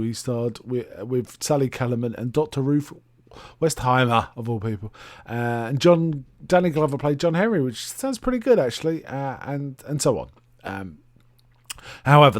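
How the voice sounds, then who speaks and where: A man hosting a casual solo review, talking in a halting, rambling way and often correcting himself. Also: medium pitch, darkish tone, no room sound. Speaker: host reviewing film and television.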